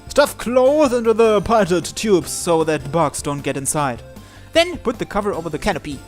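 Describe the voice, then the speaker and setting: A man's voice: high-pitched, sing-song, moderate pace, soft background music. A man hosting a let's play, reading story narration aloud and breaking off for casual asides on the text. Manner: stumbles and breaks off to comment on the text.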